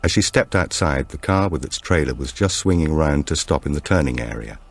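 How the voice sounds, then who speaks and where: A man reading a suspense thriller as an audiobook narrator, in a measured, scripted way. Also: very deep voice, expressive. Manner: measured, acts out dialogue.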